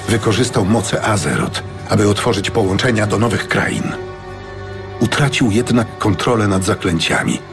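A man narrating a scripted fantasy audiobook with measured, dramatic storytelling. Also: fairly low pitch. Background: music.

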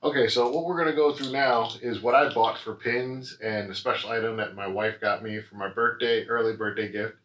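A young American man with an average-pitched voice talks casually to camera. He explains things in a loose, rambling vlogger style. The room echoes and there is faint noise, as from a camera a few feet away. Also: fairly even intonation, fairly quick, hardly any pauses, fairly bright tone. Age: young adult. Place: small living room, on a couch. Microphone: camera mic a few feet away. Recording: roomy echo, noisy camera audio.

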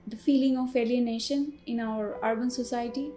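A woman from Bangladesh, a visual artist, calmly reading a statement about her work. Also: on the high side, fairly quick, faint music behind. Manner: calm, read aloud.

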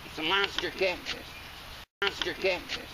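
A man filming himself fishing from a riverbank and talking casually about his catch, recorded on a camera mic outdoors with loud background noise. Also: high voice, moderate pace. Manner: excited, exclaiming over a big fish. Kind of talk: casual fishing vlog talk. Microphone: camera mic from a distance. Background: outdoor ambience, birds.

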